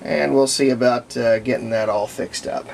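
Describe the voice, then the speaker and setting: Gravelly-voiced man giving a folksy repair demo. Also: mid-range voice, fairly even intonation, normal speed, a little mellow, slight background noise. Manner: folksy, unscripted, narrating while working. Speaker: hobbyist radio restorer presenting a repair. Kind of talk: casual hands-on repair demonstration. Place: indoor workbench.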